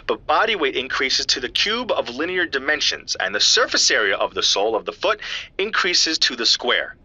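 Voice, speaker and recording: A man's voice: mid-range voice, sharp tone, breathy edge, dull audio, exaggerated voice. A man playing an earnest, geeky scientist in an audio drama, explaining evidence to a friend in precise technical detail. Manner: precise, technical, lecturing yet familiar. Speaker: scientist analysing footprint casts.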